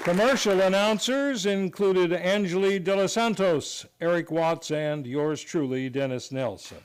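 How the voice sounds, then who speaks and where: A man's voice: sits high, a little slow, crowd noise, noisy background. A man announcing a scripted radio show sign-off in a formal, polished way. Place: live on a theater stage.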